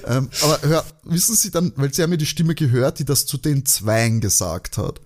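A man chatting casually as a podcast co-host, joking and rambling as he thinks out loud. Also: mid-range voice, moderate pace. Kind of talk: casual chat, guessing along with an audio drama.

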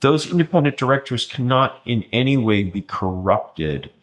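A man explaining in a lecturing way in conversation, often pausing with ums and restarting his sentences. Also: medium pitch, expressive. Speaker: interview guest.

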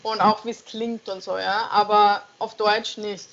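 A woman's voice: mid-range voice, level tone, measured pace, fairly bright tone. A young woman songwriter answering interview questions casually, hesitant and searching for words, with frequent laughs. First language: German. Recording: dull lo-fi sound.

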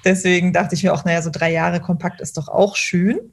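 A woman's voice: fairly low pitch, little pitch movement, fairly quick, creaky. A woman in her thirties talking casually as an interview guest, chatty and full of fillers and restarts. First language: German.

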